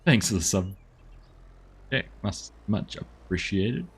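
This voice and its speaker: A man's voice: on the low side, very animated, slow, breathy edge. A man chatting casually while live-streaming a farming game, thanking viewers and answering their messages.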